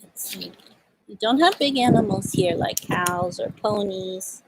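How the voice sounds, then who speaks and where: A woman with a husky voice hosting a storytime for young children, speaking gently and in simple phrases as she reads and chats outdoors. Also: medium pitch, measured pace, background noise. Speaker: children's storytime host at a community farm. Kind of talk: storybook read-aloud and casual chat to children. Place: outdoors at a farm.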